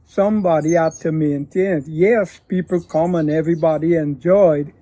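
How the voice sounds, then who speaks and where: An older man from Guyana, a pastor telling his daughter his life story in a slow, deliberate way. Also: on the high side, fairly even intonation, mellow, no room sound. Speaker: pastor and father of the host. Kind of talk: reflective family interview.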